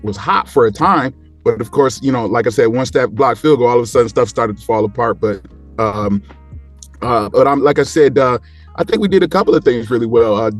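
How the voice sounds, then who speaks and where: A man in casual sports talk as a panel guest, rambling along and repeating phrases for emphasis. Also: medium pitch.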